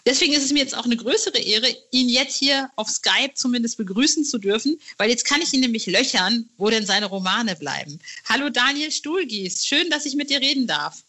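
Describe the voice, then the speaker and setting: Woman, higher voice, podcast interviewer, enthusiastic, informal, chatty. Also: lively intonation, fairly quick, bright, forceful tone, muffled recording.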